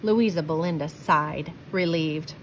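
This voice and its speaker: A woman reading a children's picture book aloud, with expressive, deliberate phrasing. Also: sits low, a little hiss or hum. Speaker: storybook reader.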